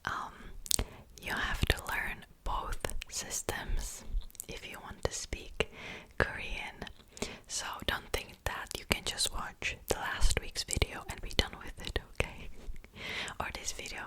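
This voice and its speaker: Whispered ASMR lesson, Czech-accented young woman, very slow, gentle repetition. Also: low-quality recording. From Czech Republic. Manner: soft whisper, slow and repetitive drilling of words. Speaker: ASMR creator teaching Korean.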